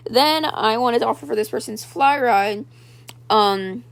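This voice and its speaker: A young woman with a raspy voice, chatting casually over gameplay footage and retelling trades with lots of "like" and "um". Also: on the high side, a little slow, no room sound. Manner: chatty, filler-heavy storytelling, full of "like" and "um". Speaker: gaming video creator.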